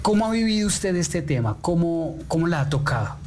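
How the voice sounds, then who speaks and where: A man's voice: fairly high pitch, big pitch swings, fairly quick, over music. A Colombian man with a Colombian accent hosting a technology show, asking hesitant, long-winded questions full of restarts. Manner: hesitant, many fillers and restarts. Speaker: tech show host. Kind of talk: interview questions.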